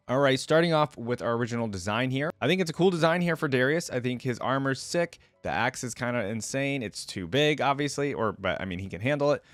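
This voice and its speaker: A man's voice: mid-range voice, no echo. A man hosting a reaction video, commenting casually in an informal, slangy way.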